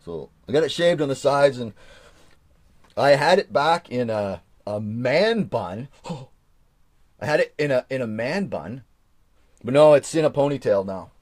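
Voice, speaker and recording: Man, forties, chatty stream host who rambles and chuckles, animated pitch. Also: a little slow, pauses often, dry recording. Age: 40s. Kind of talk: casual live chat, reading from an old journal.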